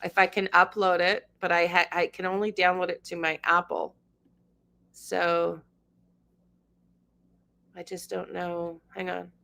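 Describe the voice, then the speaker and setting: A middle-aged woman with a drawl hosts a casual livestream, talking straight to her viewers by name. Her voice sits low yet sounds bright and forward, and it comes through a computer mic. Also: flat delivery, a little slow, gravelly, long pauses. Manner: chatty, talks to chat viewers by name. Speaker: livestream host. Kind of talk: casual livestream chat, reading news aloud.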